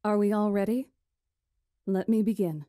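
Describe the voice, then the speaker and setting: A woman playing a poised, commanding witch who tells history in a formal, deliberate way for a visual novel. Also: medium pitch, fairly even intonation, warm tone, dry recording, studio quality, lightly acted. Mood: solemn storytelling. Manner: formal, deliberate, imperious storytelling. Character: poised, commanding witch storyteller lecturing a council.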